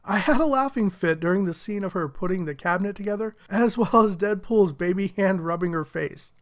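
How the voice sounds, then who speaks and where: A man's voice: high voice, fairly quick. A man giving a casual, upbeat movie review, his voice a little muffled from a head cold, chuckling now and then. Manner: upbeat, enthusiastic, chuckles often.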